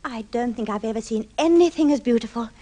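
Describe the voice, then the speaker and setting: An actress playing a genteel, easily enchanted and modestly hesitant widow in an old-time radio drama. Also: female voice, fairly high pitch, fast talker, warm tone, natural voice. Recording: vintage radio drama recording.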